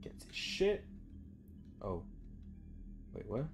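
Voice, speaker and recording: A man's voice: extremely slow, soft background music. A young man giving casual, sweary let's play commentary, muttering to himself as he goes. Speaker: gaming video host. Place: small room indoors at home.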